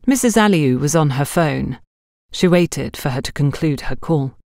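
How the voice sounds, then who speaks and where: Low-voiced woman narrating a story, close and dry. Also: animated, moderate pace, a little mellow, no echo. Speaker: audiobook narrator.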